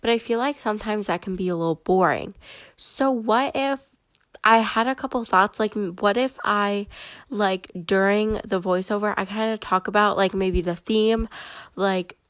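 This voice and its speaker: A woman's voice: mid-range voice, moderate pace, no room sound. A woman with a stuffy, head-cold voice, chattily narrating a voiceover for her bullet journal video. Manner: chatty and excitable, many fillers.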